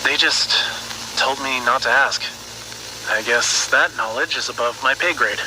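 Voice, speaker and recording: A man's voice: mid-range voice, unhurried, natural voice. A man playing an earnest research scientist recording a secret log, speaking thoughtfully with some hesitation on a noisy recording. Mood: uneasy, measured. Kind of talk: dramatic audio log narration.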